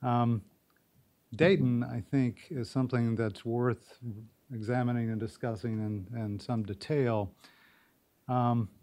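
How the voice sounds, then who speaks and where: A man speaking as a panelist, giving informal commentary in a measured, reflective and careful way. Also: on the low side, a little mellow.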